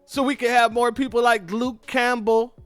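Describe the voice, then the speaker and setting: A high-voiced man hosts his own commentary, pressing his points hard and repeating them in an informal, emphatic way. The sound is dry and close, with faint music underneath. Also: little pitch movement, moderate pace, fairly bright tone, clear, resonant tone, no echo. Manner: emphatic, repetitive, informal, addresses viewers as family. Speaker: commentary channel host. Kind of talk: political commentary on clips.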